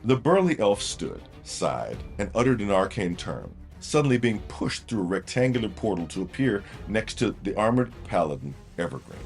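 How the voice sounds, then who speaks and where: A man's voice: on the low side, sing-song, moderate pace, hardly any pauses, faint music behind. A man narrating a fantasy audio drama from a script in a dramatic storytelling style.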